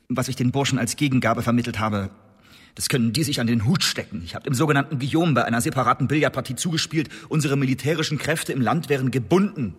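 A man acting an accused poet-spy in a historical radio play, with a husky voice in a long, hall-like reverb. He protests earnestly and insistently, defensive but with a cheeky edge. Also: mid-range voice, natural voice.

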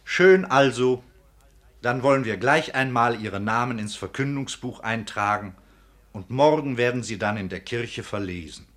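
A man's voice: mid-range voice, a little slow, fairly bright tone, natural voice. An older man playing an obliging, practical old provost in a radio drama, affirming and businesslike. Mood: eager, obliging. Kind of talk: literary radio drama acting.

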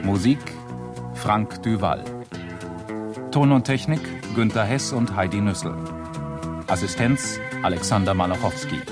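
A low-voiced man reads scripted radio drama credits as an announcer. He is formal and very unhurried, with prominent guitar music behind him. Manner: measured, formal.

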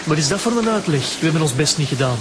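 Man acting a high-voiced, lively young Flemish footballer. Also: rapid-fire, close to an everyday voice. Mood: indignant. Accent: Flemish Belgian. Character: teasing, cheerful young male amateur footballer. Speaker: actor in a radio serial. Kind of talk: naturalistic radio drama acting. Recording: noisy background ambience.

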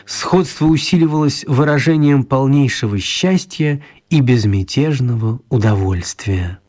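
Guy narrating a kids radio story, mid voice, measured and a bit wry. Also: medium pitch, expressive, darkish tone. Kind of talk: scripted storytelling for a children's radio play.

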